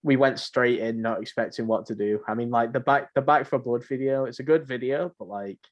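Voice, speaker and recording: A man's voice: medium pitch, a little fast. A young man chatting casually as a podcast co-host, rambling and often repeating his phrases. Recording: dull lo-fi voice mic.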